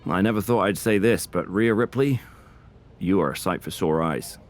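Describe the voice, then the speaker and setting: A man plays a diplomatic general manager in a wrestling game. His voice is deep, and he talks in formal, slightly florid phrases, always placating and negotiating. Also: normal speed, lightly acted. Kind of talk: scripted video game cutscene acting.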